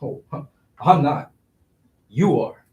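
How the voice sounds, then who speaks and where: A man's voice: fairly high pitch, lively intonation, takes it slowly, darkish tone. An actor playing a scheming, broke young city man in a staged reading, talking in slangy, persuasive street phrasing. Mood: insistent, persuasive. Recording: roomy echo, distant microphone.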